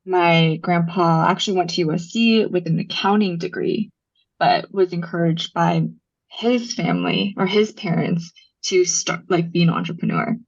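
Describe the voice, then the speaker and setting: Young socal woman on a podcast, lowish voice, lots of ums and likes, muffled dull sound. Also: steady tone, normal speed, creaky, breathy edge. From Los Angeles, USA. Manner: storytelling, frequent ums and likes, laughs easily. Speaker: podcast guest, brand founder. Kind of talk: casual interview chat.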